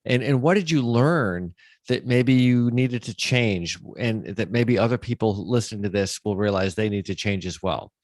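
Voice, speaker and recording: A man's voice: fairly low pitch, moderate pace, dry recording, studio quality. A man hosting a podcast, interviewing conversationally and thinking aloud as he talks. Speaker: podcast host.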